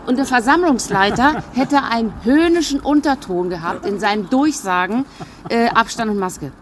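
Female vox-pop interviewee, higher register, indignant, halting delivery, light background noise. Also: animated. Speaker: interviewee, spectator at an event.

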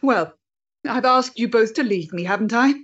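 A woman playing a proud, bitter, disillusioned woman in a period drama, speaking with intense deliberation and a husky voice. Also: fairly high pitch, normal speed, fairly bright tone, muffled recording. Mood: cold, dismissive. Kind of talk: period audio drama acting.

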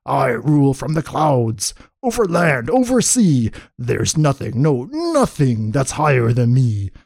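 A man's voice: medium pitch, big pitch swings, a little slow, creaky, a little mellow, no echo, heavily acted. A man voicing a boastful, bossy turtle king from a children's storybook, with a pompous, bellowing delivery. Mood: indignant, defiant. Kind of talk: animated children's storybook reading.

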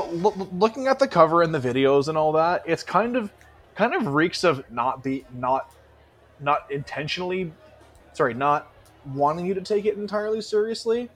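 Man with a lightly high, swooping voice for casual music podcast co-host; animated, curses freely.

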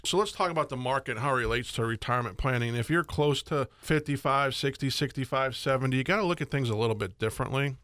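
An upbeat, middle-aged man talks money on the radio in a voice of middling pitch. He is chatty and loose and slips in jokes between points. The studio sound is close and dry. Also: fairly bright tone, no echo. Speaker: radio show host, financial advisor. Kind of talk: casual radio talk.

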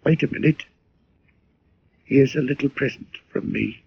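An actor playing a mad, murderous barkeeper with a folksy, bitter manner and a husky voice in 1940s radio drama style. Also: male voice, medium pitch, a little slow, slight background noise. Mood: sly, confiding. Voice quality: husky, gravelly. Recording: dull old radio recording.

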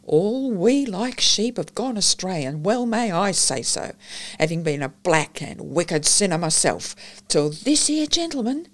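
A woman's voice: fairly low pitch, big pitch swings, unhurried. A woman with an Australian accent voicing a reformed burglar who now preaches fervently, in an audiobook reading.

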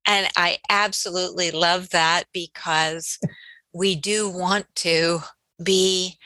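A woman hosting a podcast, affirming her guest in a warm, effusive way. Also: fairly low pitch, steady tone, takes it slowly, no echo, poor audio. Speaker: podcast host. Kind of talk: podcast interview.

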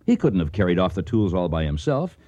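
An actor playing a reasonable, thoughtful American dig leader in a radio drama. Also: male voice, sits low, big pitch swings, warm tone, no room sound, highs cut off. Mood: measured, doubtful. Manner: measured, thoughtful.

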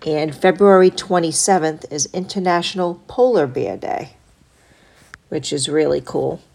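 A woman hosting a solo podcast, stuffed up from a cold, reading through a list in a steady, even way with small asides. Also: low voice, a little slow, gravelly. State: congested from a cold. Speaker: podcast host.

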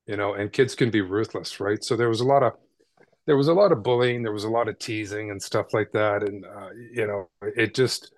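Man, podcast guest, from Canada, low voice, conversational storytelling, dry sound. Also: a little fast, no echo. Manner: conversational, frequent "right?" tags and small repeats. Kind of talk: casual storytelling interview.

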